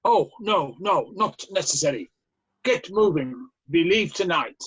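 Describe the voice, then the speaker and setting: Casting: commanding wartime camp officer read by a man, high husky voice, measured delivery, slightly muffled audio. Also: animated, fairly bright tone, exaggerated voice. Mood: curt, firm. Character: brusque commanding German army camp officer. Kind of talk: amateur screenplay read-through.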